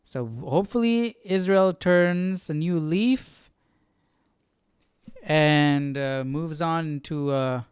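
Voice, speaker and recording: A man's voice: on the high side, slow, smooth, dry recording, clean studio sound. A man hosting a casual Bible study livestream, commenting informally and thinking aloud. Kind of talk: casual live reading commentary.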